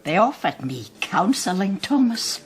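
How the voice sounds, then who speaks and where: An actress playing a concerned, motherly older woman in a radio drama, fussing gently. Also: medium pitch, very animated, a little slow. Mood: troubled.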